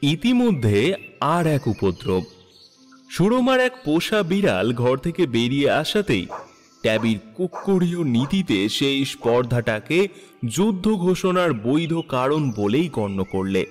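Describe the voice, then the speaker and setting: A man narrating a literary story in an expressive, measured, dramatic style. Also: mid-range voice, long reverb tail, soft background music.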